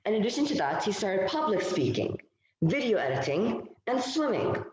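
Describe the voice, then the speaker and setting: A man's voice: high-pitched, very animated, moderate pace, a little mellow, a little hiss or hum. A teenage boy from Bahrain giving a prepared speech as club president, reciting it from memory. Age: teens. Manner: recites a memorized speech, third-person self-story. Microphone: video call. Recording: dull echoey video-call audio.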